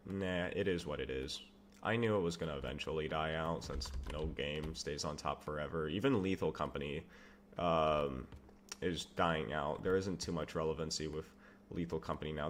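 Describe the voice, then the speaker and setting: A man's voice: extremely low pitch, slight background noise. A young man chatting casually on a live stream while he works, rambling through viewer questions with self-amused asides. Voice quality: says he has a loud voice. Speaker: game developer streamer.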